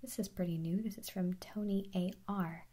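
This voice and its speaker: A young woman answers viewer questions in a soft, airy whisper for an ASMR video. Her tone is mellow and slightly husky. She talks in a loose, rambling way, often restarting her sentences. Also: fairly low pitch, fairly even intonation, normal speed. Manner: chatty, rambling, self-correcting, giggly.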